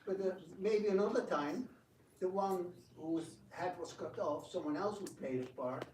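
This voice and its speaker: A man giving an informal spiritual talk and taking questions, telling stories in an animated way with hesitant repetitions. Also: sits high, moderate pace. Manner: animated storyteller, acts out quotes, hesitant repetitions. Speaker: devotional speaker and drama director taking questions. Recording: echoey room, distant mic, faint noise.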